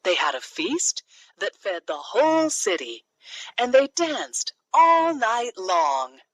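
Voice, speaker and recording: A woman narrating a children's fairy tale from a script, in an expressive, deliberate storytelling manner. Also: fairly high pitch, dry recording, low-quality recording.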